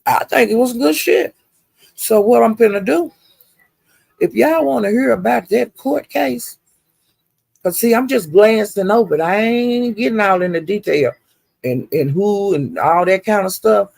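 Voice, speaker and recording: A woman's voice: medium pitch, lively intonation, a little slow, vocal fry, a little mellow, no room sound. An older woman, chatting casually to live viewers as a livestream host in a folksy, rambling, salty-tongued way.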